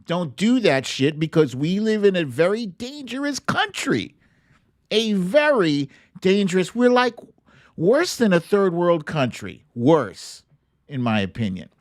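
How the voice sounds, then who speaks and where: A man's voice: high voice, sing-song, measured pace, no echo, clean studio sound. An American man hosting an online political commentary show, talking casually, bluntly and emphatically, with some swearing.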